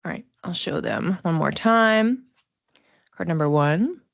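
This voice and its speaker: A woman giving a casual livestream psychic reading, talking to viewers conversationally and thinking aloud in halting phrases. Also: on the low side, lively intonation, creaky, no echo, dull audio. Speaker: psychic reader and class teacher.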